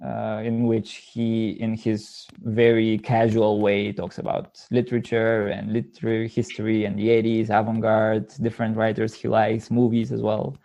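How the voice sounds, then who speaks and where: A man's voice: fairly low pitch, completely flat delivery, moderate pace, creaky, hardly any pauses, mellow. A man from Bulgaria speaking casually as an interview guest, hesitantly searching for words. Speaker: podcast guest, literary translator.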